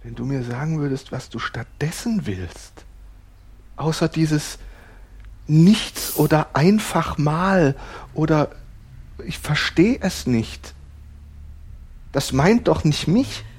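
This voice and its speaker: An actor playing an exasperated husband who loves structure, arguing haltingly in a naturalistic radio drama about couples therapy. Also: male voice, on the high side, expressive, a little mellow, husky, heavily acted. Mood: frustrated, pressing. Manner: halting, argumentative, full of hesitations. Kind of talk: naturalistic improvised-sounding radio play dialogue.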